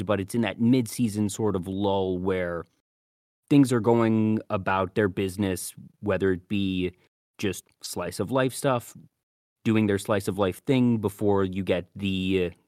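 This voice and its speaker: Low-voiced man hosting an anime podcast. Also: a little mellow, no room sound, studio quality. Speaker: podcast host. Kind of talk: casual anime podcast commentary.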